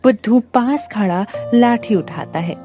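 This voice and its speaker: Female story narrator for a village moral cartoon, mellow tone, music underneath. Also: fairly high pitch, expressive, a little slow. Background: background music.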